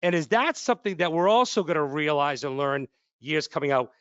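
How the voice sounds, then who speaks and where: Higher-pitched man hosting, dry close sound. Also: animated, brisk, fairly bright tone, clean tone, no echo, muffled recording. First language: English and Polish speaker. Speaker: podcast host, company CEO. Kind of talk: casual chat, anecdotal interviewing.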